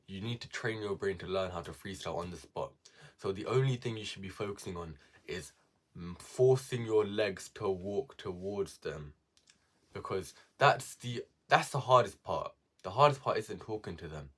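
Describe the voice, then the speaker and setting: A young man giving casual self-improvement advice to viewers, repeating key words for emphasis. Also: deep voice.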